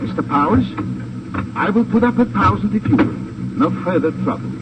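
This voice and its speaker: An actor playing a suave, unflappable German smuggler with a formal, sly, deliberate manner and a German accent in a radio crime drama. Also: male voice, sits high, lively intonation, recorded from a distance. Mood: smoothly insinuating. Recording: noisy, dull old 1950s radio recording.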